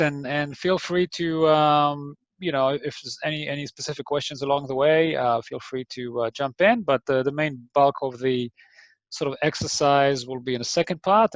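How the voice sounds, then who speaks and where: A man's voice: mid-range voice, dry recording, highs cut off. A man with an Israeli accent tinged by New Zealand, giving a hesitant, friendly online talk to startups, full of ums and restarts. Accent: Israeli English with some New Zealand influence. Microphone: video call mic.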